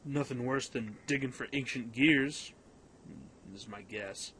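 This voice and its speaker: A man playing a level-headed, sceptical young pilot in an audio drama, with a measured, questioning delivery. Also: medium pitch, fairly bright tone, slight background noise, lightly acted. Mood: dryly sceptical. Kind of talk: fan audio drama acting.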